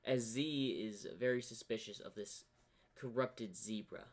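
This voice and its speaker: Guy narrating a fan story, amateur, slow and kinda halting. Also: medium pitch.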